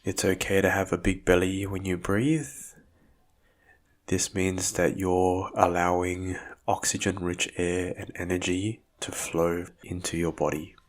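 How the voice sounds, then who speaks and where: A man with a notably low voice narrates a guided meditation in the role of a physiotherapist. He speaks very slowly, setting out each instruction in short, measured phrases. The delivery is calm and soothing. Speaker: physiotherapist guiding a breathing exercise.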